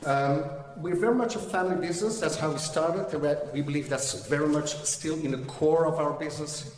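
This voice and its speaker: Belgian-accented male presenter, halting delivery, distant hall mic. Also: a little high, darkish tone. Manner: hesitant, many fillers and restarts. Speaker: financial advisor presenting at a seminar. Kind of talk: seminar presentation. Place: large echoey hall. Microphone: distant room mic. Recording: echoey hall recording from far off.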